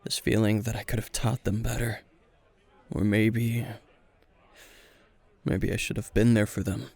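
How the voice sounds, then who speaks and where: A man playing a weary, gruff veteran hero and teacher at a bar, terse and dry, in an intimate roleplay spoken to the listener. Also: on the low side, level tone, a little slow, darkish tone. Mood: downcast, brooding. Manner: terse, guarded, dry, sighing.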